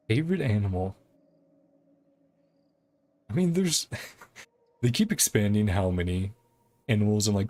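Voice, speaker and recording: Male game streamer, lowish voice, casual rambling live commentary, thinking aloud. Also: a little mellow.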